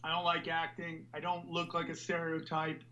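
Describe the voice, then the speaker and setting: A man hosting a podcast, talking loudly and animatedly in a rambling, casual way. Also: a little high, monotone, moderate pace, lo-fi sound. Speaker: podcast host.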